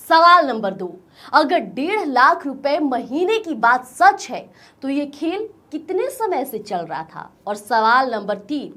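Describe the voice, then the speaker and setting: A woman's voice: high-pitched, sharp tone. A woman presenting scripted news in an emphatic, dramatic style, posing pointed rhetorical questions.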